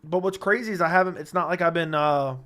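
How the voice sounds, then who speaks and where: A man with a slightly high, somewhat raspy and worn voice hosts a casual livestream. He reads viewers' questions aloud and answers them offhand, joking along the way. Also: fast talker, fairly bright tone. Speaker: livestream host reading chat.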